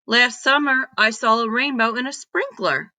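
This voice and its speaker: A woman voicing a loud, excitable, bossy young girl detective in a children's storybook. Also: fairly high pitch, normal speed, no room sound, pitched above the performer's own voice. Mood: eager, curious. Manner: bossy, exclamatory.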